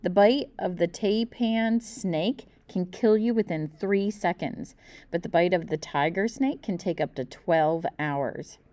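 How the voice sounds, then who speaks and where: A woman's voice: fairly low pitch, unhurried, mellow, no echo, lightly acted. A woman voicing a confident know-it-all schoolboy in a children's storybook, reciting facts matter-of-factly. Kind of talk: children's storybook read-aloud.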